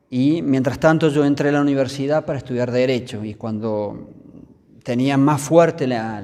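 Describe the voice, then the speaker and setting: A man in his fifties with an Argentine accent, telling his story in a measured, pausing way as a priest being interviewed. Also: medium pitch, mellow. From Córdoba, Argentina. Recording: long reverberant hall.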